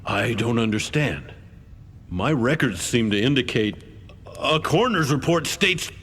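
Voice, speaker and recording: An actor playing a stern, coolly formal government investigator in a video game, speaking in a deliberate, accusing way. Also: male voice, medium pitch, lively intonation, fairly bright tone, husky. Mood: guarded. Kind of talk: video game cutscene acting. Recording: long hall reverb.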